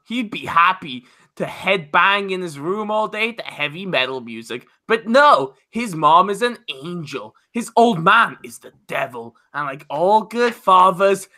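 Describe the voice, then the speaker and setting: A man with a high, bright voice chats casually as a podcast co-host. He keeps the talk light and joking.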